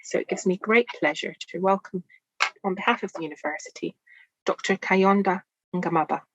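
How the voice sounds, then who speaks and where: A woman opening an online panel for an alumni association, reading housekeeping notes in a formal, measured way. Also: medium pitch, slightly rough, dry recording, highs cut off. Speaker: event organiser for alumni association. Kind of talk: scripted housekeeping introduction.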